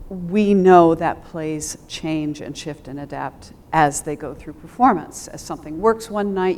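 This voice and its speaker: A woman speaking thoughtfully on a panel as a theater director, reflective and halting, often pausing mid-phrase. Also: low voice, darkish tone. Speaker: theater director and Shakespeare adapter on a discussion panel.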